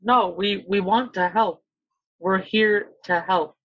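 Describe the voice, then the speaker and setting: A man's voice: high voice, fairly even intonation, slow, sharp tone, dry recording. A man playing in a casual tabletop role-playing game, improvising in a hesitant, stumbling way through a slightly muffled mic.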